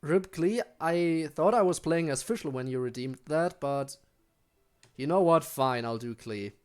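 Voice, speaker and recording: A man's voice: on the high side, expressive, unhurried, darkish tone, smooth. A young man with a German accent, a native German speaker, casually chatting with his chat while streaming a game, with frequent ums and restarts.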